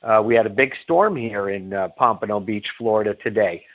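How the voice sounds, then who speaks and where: A man's voice: on the low side, fairly quick. A man giving an emphatic promotional sales presentation as a company executive, repeating phrases and answering his own rhetorical questions. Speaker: company chief executive pitching on a conference call. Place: conference call. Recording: dull, lo-fi conference call recording.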